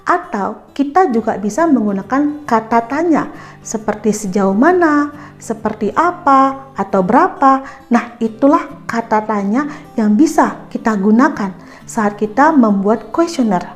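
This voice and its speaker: The higher-pitched woman you would hear on an online school lesson video, instructing pupils directly over a music bed. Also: animated, unhurried. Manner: instructive, addresses pupils directly with check-in questions. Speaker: teacher presenting an educational video. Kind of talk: explanatory school lesson narration.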